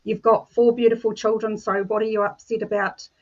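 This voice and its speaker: Flat-toned woman on muffled call audio. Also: mid-range voice, brisk. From New Zealand. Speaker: life coach. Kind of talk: personal story on a video call.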